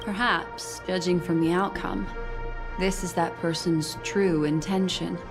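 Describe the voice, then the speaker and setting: Enigmatic, low-voiced, composed woman guide. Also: fairly even intonation, over music. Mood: intrigued. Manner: measured, deliberate, enigmatic. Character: composed woman guide, cryptic and shrewd, gathering memories. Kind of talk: scripted video game dialogue.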